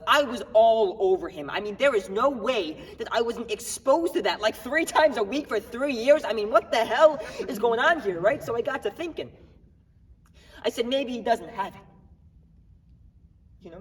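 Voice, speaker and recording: A young man performing a dramatic monologue as a defiant, grieving baker, agitated and wry, with halting, streetwise talk. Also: very high voice, breathy edge, full character voice. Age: young adult. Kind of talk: dramatic monologue at a speech contest. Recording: echoey hall, recorded from far off.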